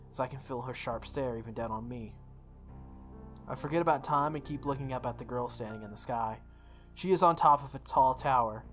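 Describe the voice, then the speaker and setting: A young man reading a visual novel aloud as a let's play host, breaking into casual animated commentary. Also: mid-range voice.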